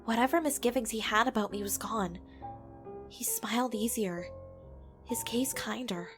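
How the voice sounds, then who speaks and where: A woman's voice: on the high side, moderate pace, close to an everyday voice. A woman playing a frank, reflective young professor in a comic dub, with music behind her voice. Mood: wistful, reflective. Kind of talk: dramatic voice acting and reflective narration for a comic dub.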